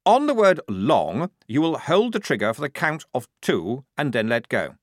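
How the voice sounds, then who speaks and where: A man's voice: mid-range voice, very animated, moderate pace, no echo, studio quality. A man reading a story, voicing a pompous, fussy young male lieutenant in a lofty, overly precise manner.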